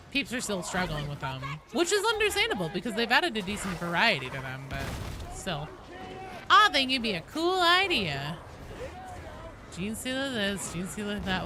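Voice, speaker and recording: A woman game streamer chatting casually with viewers, thinking aloud in a halting way. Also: lowish voice, noisy background. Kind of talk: casual chat, replying to viewers.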